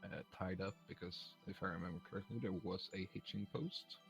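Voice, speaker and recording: A man's voice: sits low, fairly even intonation, fairly quick, vocal fry, soft background music, poor audio. A man acting as game master, narrating a tabletop game with many ums and uhs. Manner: hesitant, lots of ums and uhs.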